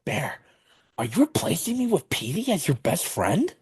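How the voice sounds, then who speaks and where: Affectionate, excitable overgrown kid of a pal, male actor, raspy voice, very animated intonation. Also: fairly high pitch, moderate pace, no room sound, studio quality, full character voice. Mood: needy affection. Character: big childlike, needy, affectionate goofball best friend. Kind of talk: table read acting.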